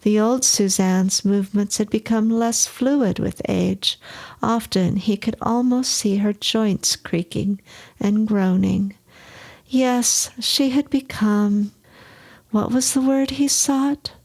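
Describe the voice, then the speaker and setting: A woman's voice: medium pitch, slow pace, a little mellow. A woman narrating a short story from a script.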